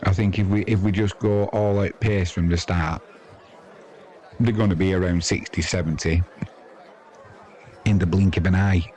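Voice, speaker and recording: A man's voice: deep voice, fairly even intonation, normal speed, long pauses, darkish tone. A man with an English accent hosting a live sports stream, giving casual, rambling, matey cricket commentary off the cuff. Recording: crowd noise behind the voice.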